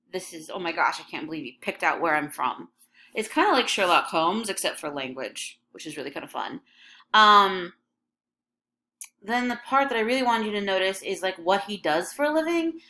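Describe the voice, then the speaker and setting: A woman's voice: medium pitch, lively intonation, moderate pace, sharp tone, a little hiss or hum. A young woman from Michigan with a slight Michigan accent, teaching an English lesson in a casual, chatty way full of ums and likes. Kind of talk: recorded classroom lesson over a scrolling text.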